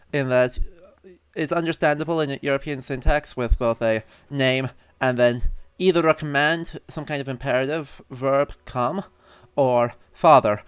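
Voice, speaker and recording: A man's voice: a little high, clean tone, dry recording. A man giving casual live game commentary as a streamer, chatty and wry with scholarly asides. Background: faint game music.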